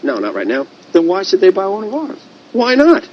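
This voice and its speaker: A man's voice: high-pitched, huge pitch swings, fairly quick. A man in a heated television debate, heard in a muffled, noisy clip played over speakers.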